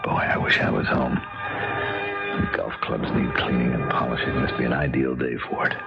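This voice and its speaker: Deep-voiced grumbling suburban man. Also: level tone, moderate pace, gravelly. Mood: sardonic, grumbling. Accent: American. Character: grumbling, self-assured suburban real estate man. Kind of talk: 1970s radio drama acting. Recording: dull vintage radio recording with music.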